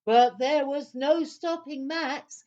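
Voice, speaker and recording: Female narrator, children's audio play; slightly high voice, slow deliberate storytelling with theatrical phrasing. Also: a little mellow, muffled recording.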